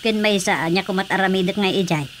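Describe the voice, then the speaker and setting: An actress with an Ilocano accent playing an outspoken, teasing wife in a radio drama comedy. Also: female voice, mid-range voice, quick pace, natural voice. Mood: questioning. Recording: faint background ambience noise.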